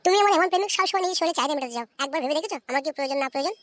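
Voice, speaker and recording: A woman acting as a coy, defiant young Bengali village wife from a comic cartoon. Her voice sits extremely high and races along, wheedling one moment and bickering the next. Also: lively intonation, very fast, a bit bright, no room sound, studio quality. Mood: complaining, wheedling.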